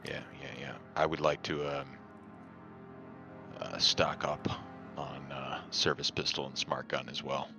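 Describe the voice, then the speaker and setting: A man's voice: extremely low pitch, level tone, measured pace, vocal fry. A man chatting casually as a player in a tabletop game. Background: background music.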